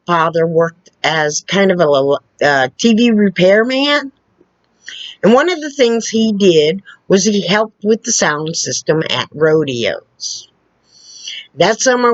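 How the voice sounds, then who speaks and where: A woman with a loud, carrying voice tells personal stories slowly, her pitch rising and falling a lot as she goes. She pauses, restarts and adds asides. The sound is dry and a bit dull, like a lo-fi recording. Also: dry recording. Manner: slow, halting, with restarts and asides. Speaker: storyteller. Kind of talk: casual personal storytelling.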